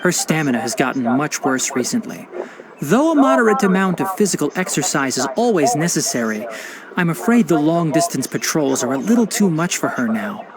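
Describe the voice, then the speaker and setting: A man voicing a calm, learned young male forest ranger and botanist in a video game, explaining things in a patient, precise, lecturing way. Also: on the high side, brisk, over a noisy crowd. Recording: loud game soundtrack music behind.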